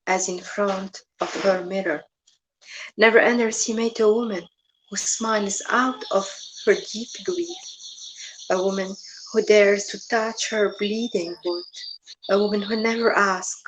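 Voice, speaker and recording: A woman's voice: mid-range voice, rough recording. Woman poet from tunisia, declamatory recitation, faint noise behind. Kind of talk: poetry recitation.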